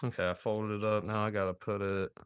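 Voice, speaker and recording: A man's voice: fairly low pitch, level tone, a little fast, clear, resonant tone, dry recording. A man in his thirties chatting casually on a live stream while he builds a model kit, laid-back and rambling, calling viewers dude and man. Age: 30s.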